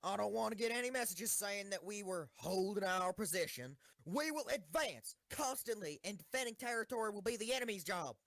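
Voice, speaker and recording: Casting: woman as swaggering schoolgirl commander, rousing war oratory, very expressive pitch, dry close sound. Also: brisk, hardly any pauses, no echo. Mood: bombastic. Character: brash, bombastic schoolgirl tank commander giving a war speech. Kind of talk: rousing military speech in a comic audio drama.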